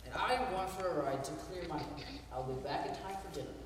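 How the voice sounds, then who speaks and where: An actor playing a dismissive skeptic in an echoey hall. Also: male voice, on the high side, recorded from a distance, slight background noise, natural voice. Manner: brisk, dismissive. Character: skeptical, dismissive young man of the house. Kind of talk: amateur stage acting.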